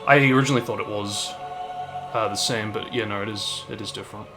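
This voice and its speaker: A man's voice: lowish voice, fairly even intonation, fast talker, over music. A man hosting a gaming video, giving casual, thoughtful and explanatory reaction commentary. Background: game cutscene soundtrack.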